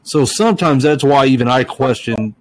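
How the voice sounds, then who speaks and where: A man's voice: mid-range voice, expressive, moderate pace. A man co-hosting a podcast, asking skeptical questions and talking in long, winding stretches. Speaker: podcast co-host. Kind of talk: casual chat.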